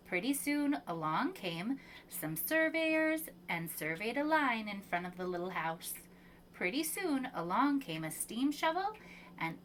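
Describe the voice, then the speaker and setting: Female preschool storyteller, lightly high voice, very slow expressive read-aloud, noisy ambient recording. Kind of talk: reading a children's picture book aloud.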